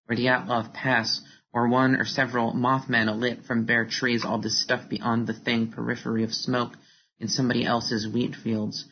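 A poet reading their own work aloud as a literary recitation, in a slightly dull recording. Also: mid-range voice. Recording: dull recording with faint noise.

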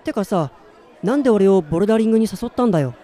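Mellow young guy, acted, kinda listless and introspective, radio drama lead telling his own everyday life. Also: high-pitched, expressive, fast, a faint crowd. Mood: casual, surprised. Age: 20s. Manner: introspective, plain-spoken. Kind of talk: radio drama narration and dialogue.

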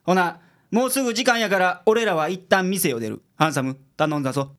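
A gruff detective boss acted by a man with a Kansai accent and a notably high voice for a male. He gives blunt orders in radio drama style. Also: fairly quick, a bit bright. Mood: brisk, commanding. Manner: blunt, commanding.

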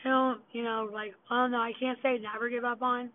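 Woman, hoarse, blunt, profane, solo rant, slightly high voice, tinny phone sound. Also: level tone, fairly quick, a little hiss or hum. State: losing her voice, hoarse. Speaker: podcast host. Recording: tinny phone-quality recording.

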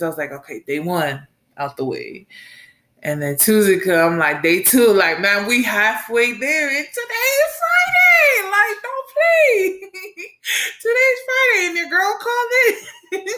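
An American woman in her late twenties, a mother and podcast host, talking through her week in a rambling, animated solo chat and laughing often. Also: medium pitch. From United States. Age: late 20s. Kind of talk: casual solo monologue.

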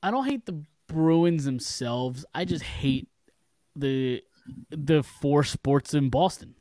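A man's voice: on the high side, animated, slow, dark tone, dry recording. A young American man in casual sports talk as a podcast co-host, blunt and loose in the way he speaks.

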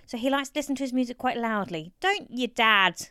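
A woman's voice: high voice, animated, no room sound, clean studio sound, pitched above the performer's own voice. A woman voicing an exasperated, fussy grown-up daughter of an elderly man in a story, often raising her voice. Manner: raised voice, slowed for deaf listener.

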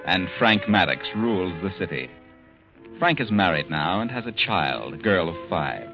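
A man narrating a 1940s radio drama as a grave storyteller, measured and dramatic. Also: low voice, very animated. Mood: grave, suspenseful. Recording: dull old radio recording. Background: dramatic music.

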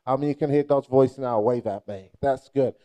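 A man's voice: mid-range voice, moderate pace, warm tone. A young adult man from Nigeria preaching a playful, charismatic sermon and rousing the crowd with call-and-response.

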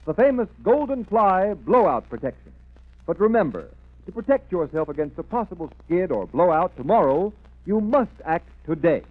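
A man announcing and reading advertising in an emphatic, salesmanlike 1930s radio style. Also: high-pitched. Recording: tinny, narrow 1930s radio recording.

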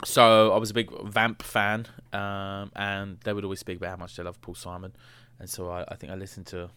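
A man's voice: deep voice, completely flat delivery, bright, forceful tone, clear, resonant tone, no room sound. A man co-hosting a podcast, chatting casually in a playful, teasing way and reading out an album review. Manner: playful, teasing, quick to laugh. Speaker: podcast co-host. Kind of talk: casual chat.

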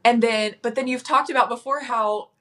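A woman's voice: on the high side, steady tone, normal speed, fairly bright tone. A woman guest on a podcast, chatting casually and self-deprecatingly, with many likes and ums.

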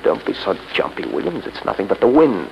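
Headstrong scoffing skeptic, gravelly, lively delivery, commanding, vintage radio mystery. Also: male voice, on the low side, a little fast, noisy background, exaggerated voice. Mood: scoffing confidence. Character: stubborn, dismissive skeptic determined to face a ghost. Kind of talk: 1930s radio acting. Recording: muffled 1930s radio recording.

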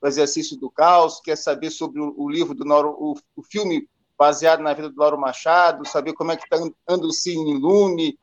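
A man co-hosts a live online talk and asks long, halting questions full of restarts and fillers. His voice sits fairly high, and the audio sounds muffled and lo-fi. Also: fairly even intonation. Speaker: co-host interviewer. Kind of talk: live stream interview questions.

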